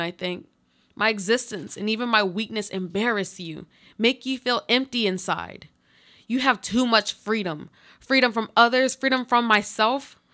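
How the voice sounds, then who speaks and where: A plaintive, injured woman trapped under rubble, played by an actress. Her mid-pitched voice carries resigned, earnest monologues in the poetic style of a literary radio play. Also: sharp tone, lightly acted. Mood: accusing, weary.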